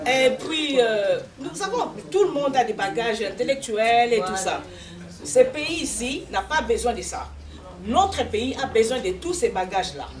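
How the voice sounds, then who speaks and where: A woman from Congo speaking earnestly at a community meeting, repeating herself and restarting as she makes her point. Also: a little high. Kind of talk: impassioned public address. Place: embassy hall. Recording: echoey room, mic far off, crowd behind.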